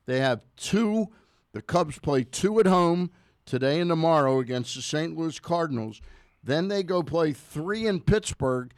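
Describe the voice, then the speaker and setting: A man's voice: fairly high pitch, animated, a little slow, smooth, dry recording. An American man hosting a sports show with casual, chatty talk, often checking facts with his partner.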